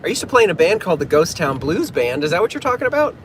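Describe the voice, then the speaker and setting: A fairly high-voiced man talks casually to his livestream audience from inside a moving car. He rambles along, narrating his navigation and reading out viewer comments. Heavy road and engine noise fills the recording. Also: very animated, very fast. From New England, US. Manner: chatty, narrates his driving and reads out fan comments. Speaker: pianist livestreaming to fans. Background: loud car and traffic noise.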